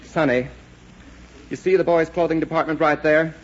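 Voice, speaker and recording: A man's voice: a little high, fairly even intonation, moderate pace, lightly acted. A man playing a curt, exasperated department store floorwalker with an American accent, in 1940s radio comedy style. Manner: clipped, impatient, exasperated. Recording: muffled 1940s radio recording, faint hiss.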